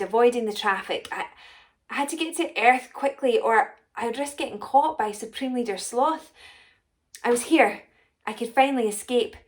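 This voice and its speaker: A woman voicing a short, rich, excitable female alien potion maker in a children's story, self-important and exclamatory. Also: a little high, voice pushed up, lightly acted. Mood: urgent. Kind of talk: first-person children's story narration.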